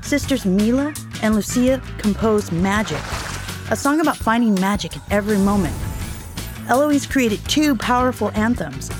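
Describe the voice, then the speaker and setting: A woman reading a scripted children's podcast story, addressing her listeners in an encouraging way. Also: medium pitch. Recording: music behind the voice.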